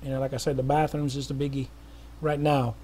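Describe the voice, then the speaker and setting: A man's voice: mid-range voice, flat delivery, a little fast, darkish tone. A middle-aged man speaking casually to viewers in an earnest, rambling way, with many restarts and fillers. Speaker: missionary making an appeal video. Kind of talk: casual spoken appeal to viewers.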